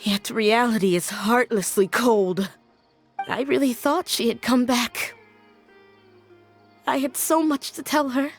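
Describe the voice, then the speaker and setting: A woman's voice: on the high side, takes it slowly, pauses often, soft background music. A woman voicing a sensitive, polite and earnest young woman with a sorrowful temper in a video game. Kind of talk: English video game voice acting.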